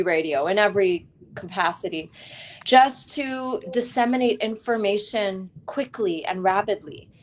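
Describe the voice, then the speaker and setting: A woman with a medium-pitched voice speaks as a guest author. She tells her story conversationally, with articulate, expressive and reflective phrasing. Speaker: author, wellness teacher, interview guest.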